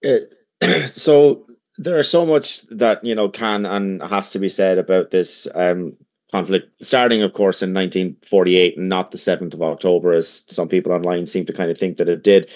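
An Irish man giving a debate opening statement, halting with many fillers but impassioned. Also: low-pitched, darkish tone. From Ireland. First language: English.

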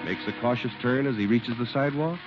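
A man's voice: medium pitch, fast. An American man announcing a 1950s radio show, reading scripted sponsor copy and narration with polished emphasis. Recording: muffled old radio broadcast. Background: music.

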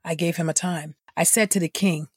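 A woman reading scripture aloud as the host of an audio Bible podcast, with clearly articulated delivery. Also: on the low side, fairly even intonation, brisk, no room sound, studio quality.

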